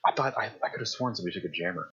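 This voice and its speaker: Deep, husky man at a game table. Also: expressive, racing, a bit bright, muffled recording. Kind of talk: casual table talk.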